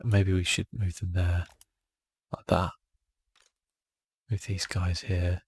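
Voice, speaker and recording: A man giving soft-spoken ASMR commentary as he plays a game, thinking aloud in a relaxed way. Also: sits low, takes it slowly, slightly rough. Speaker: gaming video host.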